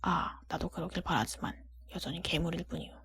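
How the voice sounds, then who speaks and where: A woman voicing a sad, courteous male beast from a fairy tale, speaking formally and humbly in a breathy whisper. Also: on the low side, a little fast, a bit bright, pitched below the performer's own voice. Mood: sad, humble. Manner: formal, old-fashioned, self-deprecating speech.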